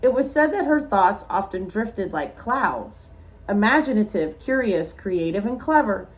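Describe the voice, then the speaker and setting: A woman's voice: mid-range voice, a little slow. A woman reading a rhyming picture book aloud like a teacher, expressively, with small asides to young listeners. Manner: expressive storytelling, addressing children.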